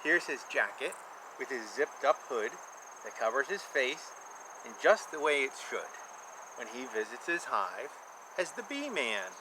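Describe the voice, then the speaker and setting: A man's voice: medium pitch, sing-song, a little slow. A man in his fifties reading a children's book aloud, with simple, repetitive, emphatic explanations for young listeners. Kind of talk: read-aloud storytelling with explanatory asides. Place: outdoor backyard beside a beehive. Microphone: camera at about arm's length. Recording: outdoor noise with insect chirps.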